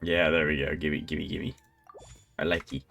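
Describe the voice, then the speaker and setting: Low-voiced man streaming a game. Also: level tone, moderate pace, gravelly, a bit bright, soft background music. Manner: rambling, thinking aloud. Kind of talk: casual live gameplay commentary.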